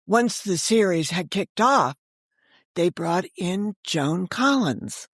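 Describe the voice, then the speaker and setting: A woman's voice: fairly low pitch, animated, slow pace, breathy edge, no room sound. Chatty woman telling anecdotes, dry close sound. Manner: chatty, digressive, acts out others' quoted remarks. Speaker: interview guest, author and storyteller. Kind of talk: animated anecdotal storytelling in casual conversation.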